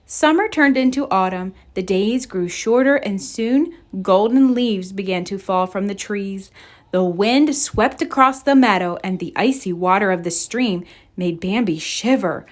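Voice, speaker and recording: A woman reading a children's story aloud in an expressive, deliberate storybook narration style. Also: medium pitch. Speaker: storybook reader.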